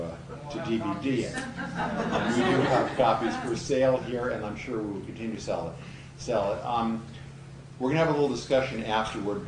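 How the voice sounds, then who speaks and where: A man hosting a screening event, giving an introduction speech with emphatic repetition. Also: fairly low pitch. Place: event hall before an audience. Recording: roomy, noisy hall, far from mic.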